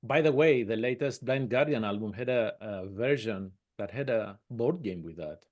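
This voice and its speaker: A man hosting a casual interview who asks halting, friendly questions. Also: fairly low pitch. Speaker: podcast interviewer.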